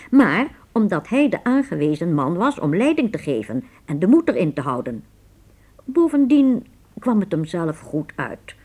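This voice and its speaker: A woman's voice: lowish voice, darkish tone, breathy edge. A woman narrating a Dutch radio play in scripted, dramatic storytelling style.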